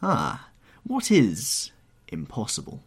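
A man performs a troubled young gentleman in a classic tale. He is theatrical and brooding, full of bitterness and despair. The voice is slightly rough and moves through a wide range of pitch. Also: very animated, normal speed. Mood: bitter, despairing.

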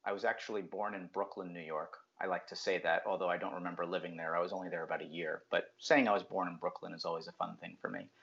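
Low-voiced man, dull lo-fi audio. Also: flat delivery, fast, creaky, few pauses, fairly bright tone. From New Jersey, USA. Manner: reflective, frequent um fillers. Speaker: guest, brand strategist. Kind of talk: casual interview talk.

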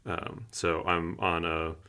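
Man aged thirty, low-pitched, creaky, casual podcast co-host. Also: fairly even intonation, slow, sharp tone.

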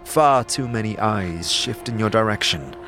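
A man's voice: fairly low pitch, expressive, unhurried, over music. A man narrating a scripted fantasy audio drama in a theatrical, descriptive storytelling manner.

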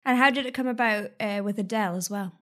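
A woman's voice: medium pitch, quick pace, fairly bright tone. A woman hosting an interview, a singing teacher who asks questions and agrees readily. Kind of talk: casual interview chat.

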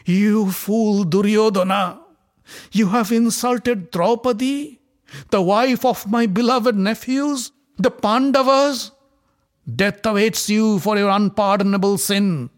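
A man's voice: on the high side, a little slow, a little mellow, voice pushed up, close to an everyday voice. A man voicing an old king who speaks in stern, declaiming tones. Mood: angry.

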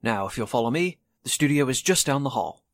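A man playing a brisk, genial radio station host in a 1940s-style radio drama. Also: mid-range voice, animated, natural voice. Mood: genial, businesslike. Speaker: actor in an amateur radio drama re-creation.

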